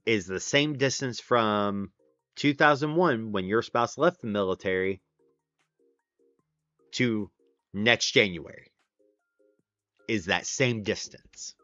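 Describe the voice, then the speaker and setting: A man's voice: medium pitch, a bit bright, no echo, muffled recording. A man in his late thirties hosting a casual stream, rambling with lots of fillers and restarts. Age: 30s. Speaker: livestream host. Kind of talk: casual chat with viewers.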